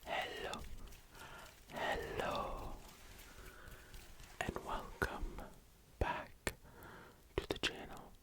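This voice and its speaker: A young adult ASMR host speaking and reading poetry in a breathy, gentle whisper, lingering on the words. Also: very slow, long pauses.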